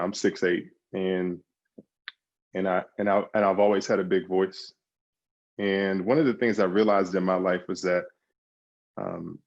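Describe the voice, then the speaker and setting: A man's voice: low voice, lo-fi sound. A man talking as a guest on a podcast, answering in emphatic bursts with repeated words and restarts. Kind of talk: conversational interview answers.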